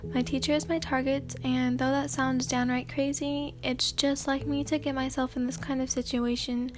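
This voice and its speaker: A woman hosting a sleepy ASMR channel, reading fan fiction aloud in a soft, hesitant voice with many fillers and throat clears. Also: high-pitched, little pitch movement, normal speed.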